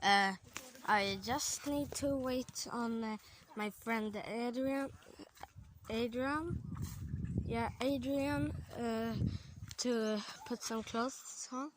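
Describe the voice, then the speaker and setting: Slow, hesitant Swedish woman vlogging outdoors. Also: on the high side, a little hiss or hum, low-quality recording. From Sweden. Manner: hesitant, many fillers, chatty asides. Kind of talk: casual walking vlog. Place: outdoor campsite among trees.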